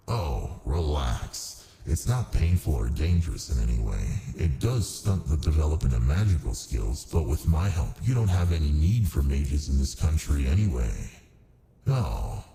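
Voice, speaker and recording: Man voicing a cavernously deep, smug ancient demon. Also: mellow, a little hiss or hum, exaggerated voice. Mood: amused, unbothered. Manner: smooth, taunting, politely menacing. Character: massive ancient male demon, smug, amused, coolly domineering. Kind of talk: ASMR roleplay, close and unhurried.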